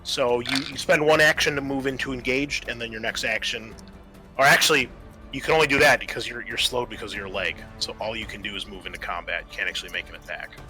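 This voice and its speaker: A man's voice: medium pitch, fairly even intonation, fast, sharp tone, faint music behind. A man acting as game master, narrating a tabletop game and explaining things conversationally.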